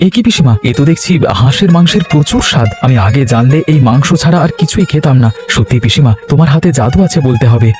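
A man voicing an excitable village husband in a cartoon, exclamatory and emphatic. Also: mid-range voice, fast, few pauses, soft background music, close to an everyday voice. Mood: pleased. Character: village husband and nephew, excitable, easily alarmed. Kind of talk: Bengali animated ghost-story voice acting.

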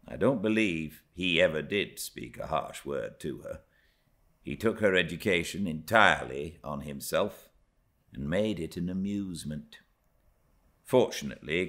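A middle-aged British man reading classic literature aloud as an audiobook narrator, in a measured, theatrical, expressive style. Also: extremely low pitch. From Britain.

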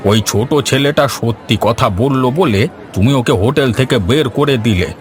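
A man's voice: mid-range voice, moderate pace, slight background noise, lightly acted. A man voicing an elderly, well-off village patron in a cartoon story. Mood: indignant. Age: older. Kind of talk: Bengali cartoon story dialogue.

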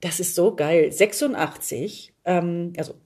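A woman's voice: lowish voice, a little slow, a little mellow, husky. A middle-aged woman from Berlin chatting casually, telling stories in looping, unfinished sentences. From Berlin, Germany. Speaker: podcast guest, performer. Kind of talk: casual chat.

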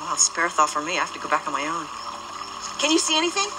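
A breezy, teasing magic agent. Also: female voice, sits low, very animated, fast talker, noisy background.

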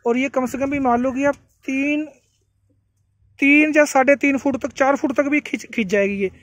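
A man's voice: very high-pitched, steady tone, moderate pace, a bit bright. A man giving a casual, chatty product demonstration outdoors, often repeating himself and addressing viewers as brothers. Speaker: farming vlogger. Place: outdoors beside a tractor by a green field.

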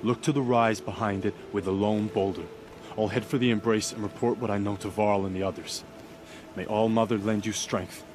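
Male actor as a wounded warrior, earnest, low voice, game cutscene. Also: fairly even intonation, fairly quick, background noise, natural voice. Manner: earnest, urgent, solemn.

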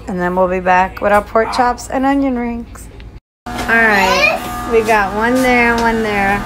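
A young woman narrating as she cooks in her home kitchen, talking to the camera in a chatty, casual way. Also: mid-range voice. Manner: chatty, talks to camera while cooking. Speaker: cooking vlogger. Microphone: phone held or propped near stove. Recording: home phone audio with kitchen noise.

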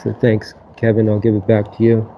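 A man in his seventies whose first language is Latvian, telling his life story slowly, pausing often with fillers. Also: on the low side, completely flat delivery, highs cut off, a little hiss or hum. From United States. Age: 70s. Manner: slow, halting, many pauses and fillers. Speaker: guest. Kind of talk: casual interview talk.

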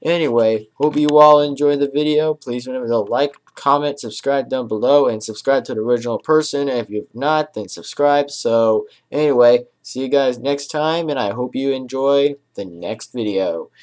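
A man's voice: mid-range voice, normal speed, hardly any pauses, a little mellow. A young adult man hosting a casual reaction video, talking in a rambling, repetitive way in a small room. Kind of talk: casual reaction commentary to camera. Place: small dim bedroom, indoors.

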